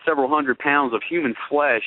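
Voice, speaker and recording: A man's voice: on the high side, brisk, no echo. A man co-hosting a talk show, giving conversational astrological analysis in a wordy, expository way with rhetorical questions. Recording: narrow phone-like radio sound.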